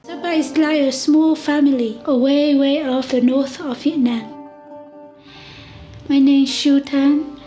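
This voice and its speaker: A woman in a documentary interview speaks earnest, simple English that is not her first language. Her high voice has a mellow, soft tone, and faint music plays underneath. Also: fairly even intonation, a little slow. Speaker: hotel founder interviewed in a documentary.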